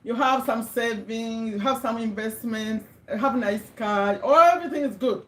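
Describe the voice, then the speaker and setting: A middle-aged Ugandan woman talks straight into a webcam, chatty and warm in pitch, repeating words to drive a point home. Her voice sits a little high for a woman. The room around her is bare and echoey. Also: normal speed. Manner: chatty, checks in with viewers, repeats words for emphasis. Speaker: livestream host. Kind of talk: casual motivational livestream.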